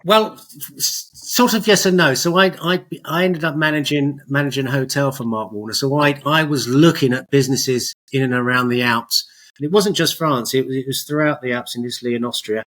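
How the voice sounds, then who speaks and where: A man's voice: medium pitch, a little fast, fairly bright tone. A middle-aged man chatting as an interview guest, telling his story in a hesitant, rambling, self-correcting way. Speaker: podcast guest, business owner.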